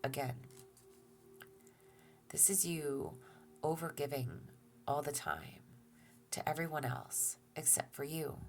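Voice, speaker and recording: Low, gravelly woman with a stuffy nose. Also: steady tone, takes it slowly, pauses often, faint music behind. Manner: soft-spoken, often drops to a near-whisper; gentle and reassuring, with fillers and restarts. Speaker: tarot reader. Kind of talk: relaxed tarot reading to viewers.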